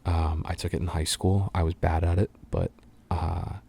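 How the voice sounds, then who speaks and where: A young adult man from the United States, talking in a soft, half-whispered way and rambling through fan questions with lots of ums and uhs. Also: sits low, little pitch movement, moderate pace, vocal fry, husky. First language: English. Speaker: voice actor answering fan questions.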